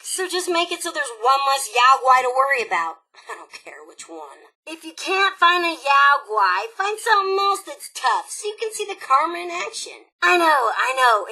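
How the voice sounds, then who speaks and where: A woman voicing a cheerful, bloodthirsty female raider robot in a video game, brash, sarcastic and excitable, with a sharp, forceful delivery. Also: high voice, breathy edge, full character voice, poor audio. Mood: sly, playful. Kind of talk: animated video game character acting.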